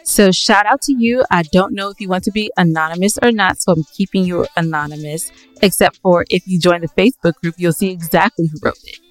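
A woman's voice: lowish voice, fairly quick, no room sound, soft background music. A woman co-hosting a podcast in a casual, playful, teasing way. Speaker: podcast co-host. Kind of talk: casual banter between co-hosts.

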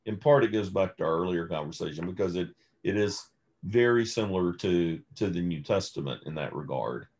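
A man speaking as a scholarly interview guest, choosing his words in a measured, deliberate way. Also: low-pitched, expressive, darkish tone. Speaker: guest, theologian and author. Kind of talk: thoughtful interview conversation.

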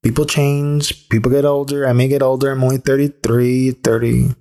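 A man's voice: medium pitch, little pitch movement, moderate pace, mellow. A man in his thirties hosting a solo podcast, talking candidly and thinking aloud with restarts and the odd swear word. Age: 30s. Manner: casual with occasional swearing. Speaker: podcast host. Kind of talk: solo reflective podcast monologue.

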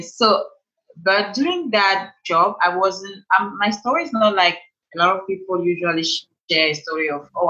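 A woman's voice: sits low, pushed and bright. A woman from Cameroon with a Cameroonian accent, telling her story in a chatty, self-amused way as a podcast guest. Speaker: podcast guest, life coach. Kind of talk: casual interview talk. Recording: dull lo-fi remote line.

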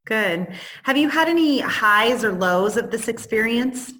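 A woman's voice: a little high, lively intonation, unhurried, fairly bright tone. A woman co-hosting a podcast, asking interview questions and encouraging her guest with frequent mm-hmms.

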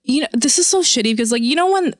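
Woman, higher pitch, animated profane storyteller, dry close mic. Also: very fast, no echo. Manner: animated, profane, acts out quotes. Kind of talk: casual storytelling chat.

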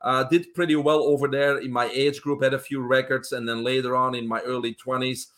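A middle-aged man from Belgium with a Belgian accent, a guest telling his life story in an interview, relaxed and full of uh fillers. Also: mid-range voice, brisk.

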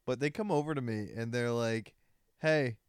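A man's voice: medium pitch, normal speed, clean tone, no room sound, studio quality. A man in his twenties co-hosting a podcast, chatting casually and joking crudely. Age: 20s. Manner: crude, joking, laughs often. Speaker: podcast co-host. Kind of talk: casual chat.

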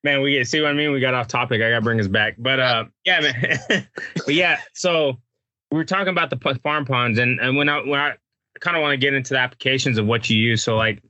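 A man's voice: mid-range voice, quick pace, pushed and bright, dry recording. A man from Texas, hosting a casual podcast chat and laughing often as he rambles. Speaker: podcast host.

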